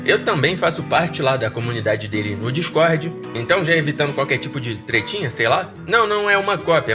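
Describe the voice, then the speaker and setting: A man's voice: fairly high pitch, sharp tone. A Brazilian man hosting a podcast, chatting to listeners in an informal, slangy, enthusiastic way. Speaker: horror podcast host and narrator. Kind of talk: casual outro chat to listeners. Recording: music behind the voice. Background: music.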